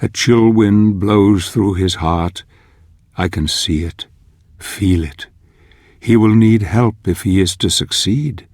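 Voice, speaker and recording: Slow, deep, husky watcher. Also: male voice, darkish tone, no room sound, studio quality. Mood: brooding. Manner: slow, theatrical, ominous. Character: brooding male watcher narrating a hero's fate, grave and resolute. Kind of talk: dramatic scripted game narration.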